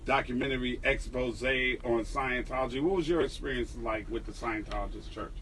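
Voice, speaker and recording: A man's voice: medium pitch, moderate pace, a bit bright, distant mic, a little hiss or hum, rough recording. A man hosting a radio show, asking upbeat, probing questions of his guest. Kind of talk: radio interview. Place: studio.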